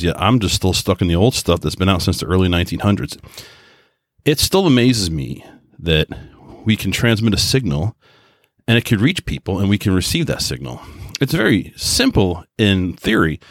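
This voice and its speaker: Casual podcast host, American man with a low voice, folksy and enthusiastic rambler, dry recording. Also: dry recording. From New York, US. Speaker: podcast host and radio hobbyist. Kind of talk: casual solo podcast monologue.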